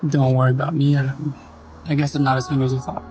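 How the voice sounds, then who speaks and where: An actor playing a reserved, brooding doctor in an audio series, speaking in a terse, guarded way. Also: male voice, mid-range voice, flat delivery, fast talker, darkish tone, lo-fi sound, slight background noise, lightly acted. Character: reserved, brooding male doctor with stormy moods.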